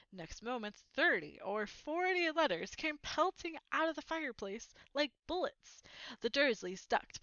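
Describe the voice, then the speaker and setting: A woman reading a children's book aloud as an expressive storyteller. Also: fairly high pitch, dry recording, dull audio.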